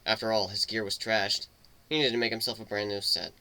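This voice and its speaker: Guy narrating a fan story, mid pitch, really bright voice, dry recording. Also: flat delivery, brisk, no room sound, low-quality recording. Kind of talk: scripted dramatic story narration.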